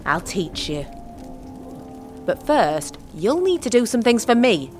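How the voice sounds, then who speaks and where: A woman playing a gruff, wary old witch woman in an audio drama, blunt and teasing, speaking in rustic dialect. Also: a little high, very animated, takes it slowly, a little mellow, faint music behind. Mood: bossy.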